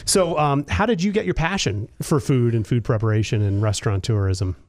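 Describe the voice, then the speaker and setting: A man's voice: mid-range voice, fairly quick, a little mellow, no room sound. A man hosting a casual interview podcast, enthusiastic and effusive in his praise. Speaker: podcast host.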